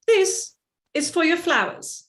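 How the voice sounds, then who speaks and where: A genteel, imperious mother, played by a woman in a stage play. Her voice is high, with wide, lively swings of intonation, and her manner is prim and insistent. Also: slow pace, full character voice. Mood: brisk, imperious. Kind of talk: theatrical staged play reading.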